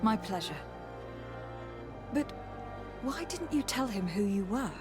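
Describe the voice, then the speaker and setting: A woman's voice: medium pitch, sing-song, takes it slowly, over music. A woman playing a composed, articulate, authoritative noble diplomat in a video game. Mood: friendly.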